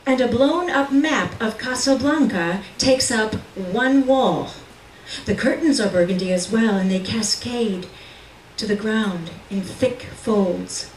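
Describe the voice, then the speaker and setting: A woman reading literary fiction aloud at a live reading, with measured, deliberate delivery and dramatic pauses. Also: on the high side. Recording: roomy, distant mic with faint noise.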